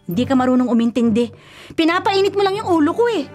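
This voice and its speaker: High-pitched, fussy superstitious older sister. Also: female voice, expressive, fast talker, darkish tone, soft background music. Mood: bossy, irritated. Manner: scolding, insistent, anxious about bad luck. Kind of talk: radio drama acting.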